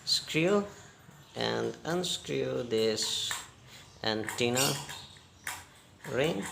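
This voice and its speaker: Slow, gravelly man giving halting instructions. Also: mid-range voice, expressive, noisy background. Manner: halting, step-by-step instructions in short phrases. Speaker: repair tutorial host. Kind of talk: unscripted hands-on repair demonstration.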